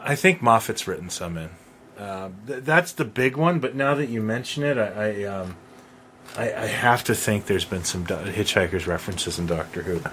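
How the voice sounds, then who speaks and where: A man's voice: on the low side, animated, normal speed, a bit bright, a little hiss or hum. A man co-hosting a podcast in an informal, conversational style. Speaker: podcast co-host. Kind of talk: casual chat.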